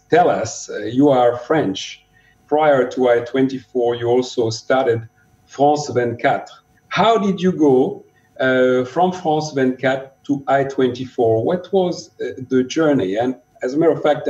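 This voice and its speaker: A man's voice: mid-range voice, darkish tone, distant mic. A man hosting an interview podcast, introducing his guest and asking conversational questions. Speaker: podcast host. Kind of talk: interview host. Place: room in Melbourne. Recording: dull roomy audio from off-mic.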